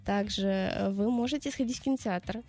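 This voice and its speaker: A woman's voice: medium pitch, brisk, warm tone. A young woman from Russia, a guest on a radio morning show, answering questions in a casual, hesitant way with many fillers and restarts.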